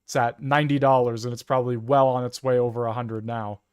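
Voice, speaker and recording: A Canadian man with a smooth, clear voice at an average pitch. He gives opinionated political commentary in a casual, informal way. The sound is close and dry, with little room in it. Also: flat delivery, quick pace, no echo. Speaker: co-host commentator.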